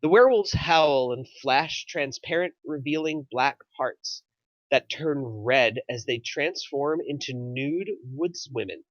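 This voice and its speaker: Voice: medium pitch, slow pace, no echo, highs cut off. A narrator reading scripted stage directions aloud at a film table read.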